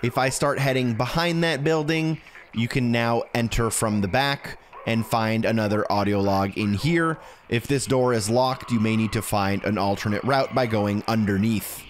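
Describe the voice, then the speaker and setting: A man's voice: mid-range voice, moderate pace, slight background noise. A man narrating a video game walkthrough in a casual, instructional way, talking directly to the viewer. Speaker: video game guide creator.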